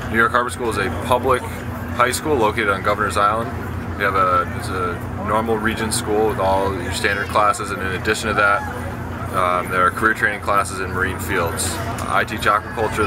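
An American man in his thirties, an instructor explaining informally to camera outdoors, pausing often mid-sentence. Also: lowish voice. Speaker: aquaculture instructor. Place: open lawn outdoors, under a canopy. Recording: loud outdoor background noise.

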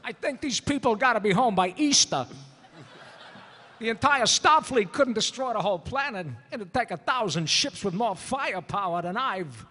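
High, bright, cocky space smuggler. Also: male voice, animated, moderate pace, exaggerated voice. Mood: exasperated. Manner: brash, sarcastic. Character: cocky, cynical male space smuggler as loud cartoon character. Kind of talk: comic live table read. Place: large convention hall stage. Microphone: table microphone. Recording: reverberant convention hall panel.

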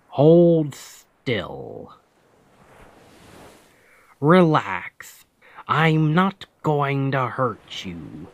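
Pirate captain, male actor, ASMR roleplay. Authoritative and gruffly protective, very slow deliberate delivery, lively intonation. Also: no echo, heavily acted. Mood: gruff, firm. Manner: slow, deliberate, authoritative, phrases trailing low.

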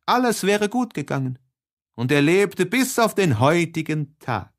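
A man narrating a classic novel as an audiobook, reading expressively with deliberate, dramatic phrasing. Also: sits high, very animated.

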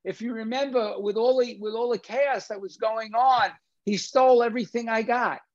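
A man's voice: high voice, gravelly, dry recording. An older man hosting a film discussion on a video call, emphatic and repeating phrases for stress. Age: older, 60s or 70s. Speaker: film discussion host and lecturer. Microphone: video call, computer at desk distance. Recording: dull lo-fi video-call audio.

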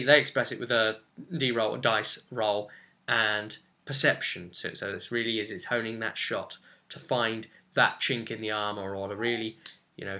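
A man's voice: on the low side, sharp tone. A man in his twenties giving an informal explanatory tutorial on tabletop roleplaying, talking to camera at home. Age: 20s. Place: small furnished room at home, indoors.

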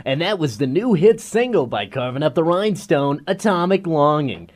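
A man's voice: a little high, animated, moderate pace. A man playing an upbeat, hip FM radio DJ in a radio drama, with breezy, slangy, showy patter. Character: upbeat, hip male late-night FM radio DJ. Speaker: radio DJ co-host.

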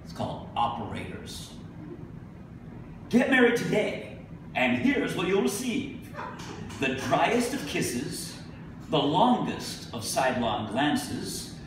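A middle-aged man giving a live poetry reading, speaking deliberately with emphatic pauses. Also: a little high. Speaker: poet. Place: indoor venue with a staircase, live reading. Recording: echoey room from a distance, noisy.

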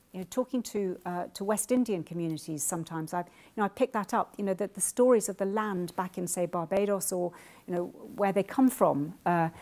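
An older woman speaks in a measured, earnest voice at a middle pitch. She is giving a lecture from a lectern mic in a wood-panelled college room and pauses to think as she answers. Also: darkish tone. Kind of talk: formal lecture then answering questions. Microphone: lectern microphone.

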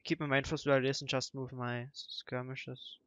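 A man's voice: mid-range voice, flat delivery, a little fast, fairly bright tone, no room sound. A young man chatting casually over strategy gameplay on a headset, often hesitating and correcting himself with mild grumbling. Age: young adult. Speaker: gamer commentating co-op strategy play. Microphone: headset microphone. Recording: slightly dull, dry headset audio.

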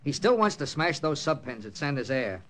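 A man's voice: fairly high pitch, sing-song, normal speed, a bit bright. A man acting a tough, grim American intelligence captain who narrates an old radio drama tersely. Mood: urgent. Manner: terse, hard-boiled. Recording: old radio recording with music behind.

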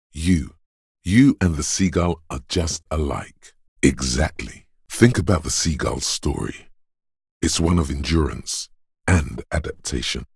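An actor playing a grave, stern inner voice of endurance in a game, narrating bluntly and deliberately in a husky, gravelly tone. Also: male voice, extremely low pitch, dry recording, studio quality, full character voice. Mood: hard-bitten. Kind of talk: scripted second-person game narration.

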